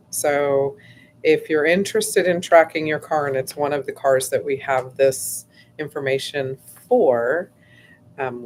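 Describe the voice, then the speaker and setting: A woman hosting a casual livestream, her voice going hoarse, rambling chattily with lots of fillers and frequent throat clearing. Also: rumbling low, darkish tone, a little hiss or hum. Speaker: host.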